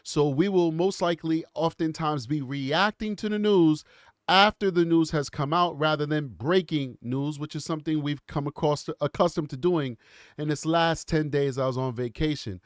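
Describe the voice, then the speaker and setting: A man's voice: on the high side, moderate pace, no room sound, studio quality. A man with a cold and a congested voice, hosting a casual livestream in a chatty, rambling way and laughing often. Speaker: show host.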